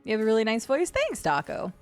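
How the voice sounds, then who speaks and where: A young adult woman with a gravelly voice and deep vocal fry, chatting casually while streaming a game. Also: huge pitch swings, fast talker, darkish tone. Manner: chatty, swears and laughs at the game. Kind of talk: casual live-stream chat while gaming. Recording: faint game music behind.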